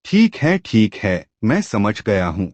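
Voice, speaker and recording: A man's voice: on the low side, big pitch swings, slow pace, a little mellow, no echo, dull audio, exaggerated voice. A man playing a sceptical, plain-spoken village mason in a folk tale, questioning and grudgingly agreeable. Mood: bewildered.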